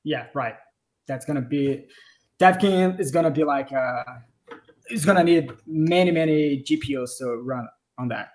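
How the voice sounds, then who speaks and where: Brazilian-accented developer with halting speech. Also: male voice, a little high. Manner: hesitant, restarts often, searching for words. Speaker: game developer. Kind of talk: casual livestream Q&A. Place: home office. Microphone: video call.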